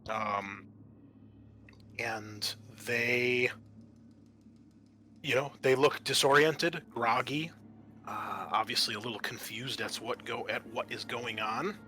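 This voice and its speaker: A man narrating an improvised tabletop game as game master, halting often mid-sentence. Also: mid-range voice, sharp tone, slight background noise.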